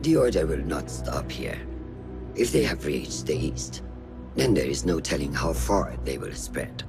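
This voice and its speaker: An actor playing a grave, commanding mentor in a video game, speaking in a measured, solemn way. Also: male voice, a bass voice, sing-song, heavily acted. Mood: grave, watchful. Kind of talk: video game cutscene dialogue. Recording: music behind.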